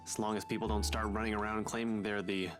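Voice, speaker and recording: Cool, wry case-worker, played by a male actor, lowish voice, game dialogue over music. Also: level tone, fast, natural voice. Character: dry, unflappable male investigator working a case. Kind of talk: visual novel voice acting.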